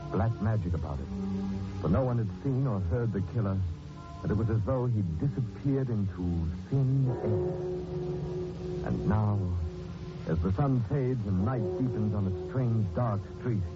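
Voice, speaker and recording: A man hosting and narrating a 1940s radio mystery in an ominous, theatrical manner. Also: fairly low pitch. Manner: ominous, theatrical, addressing characters directly. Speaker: host and narrator. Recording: muffled 1940s radio recording with loud music.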